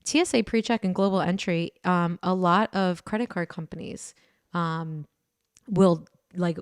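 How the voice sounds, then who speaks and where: A woman co-hosts a casual podcast, joking and laughing as she chats. Her voice sits on the low side and sounds a little tired in the evening. The sound is close and dry, with no room echo. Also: a little slow, clear, resonant tone. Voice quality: deeper voice in the evening. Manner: chatty, joking, laughs often. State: tired late in the day. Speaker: podcast co-host. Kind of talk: casual chat.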